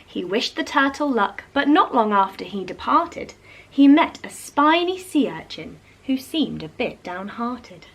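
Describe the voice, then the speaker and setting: Woman storyteller for children's read-aloud, unhurried, highly varied intonation. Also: poor audio. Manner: animated, addresses young listeners. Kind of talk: expressive read-aloud of a rhyming picture book.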